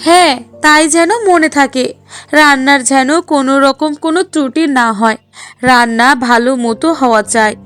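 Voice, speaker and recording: A woman's voice: high voice, normal speed, fairly bright tone, soft background music. A woman voicing a spiteful, whiny young sister-in-law in a cartoon, scolding and demanding. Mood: bossy, threatening. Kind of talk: Bengali cartoon dialogue.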